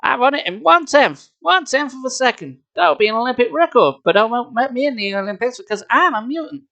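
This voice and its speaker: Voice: medium pitch, lively intonation, fast talker, fairly bright tone, muffled recording, pitched above the performer's own voice. A storybook reader voicing a swaggering, declamatory male gazelle superhero with a boastful manner.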